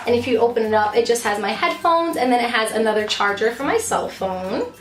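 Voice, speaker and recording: High-voiced, slightly hoarse woman vlogger. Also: sing-song, a little fast, roomy sound. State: losing voice from talking. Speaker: vlogger, fifth grade teacher. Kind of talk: casual chatty product show-and-tell to camera.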